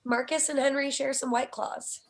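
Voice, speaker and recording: A woman's voice: sits high, steady tone, normal speed. A woman reading out the stage directions of a comedy screenplay as scripted narration.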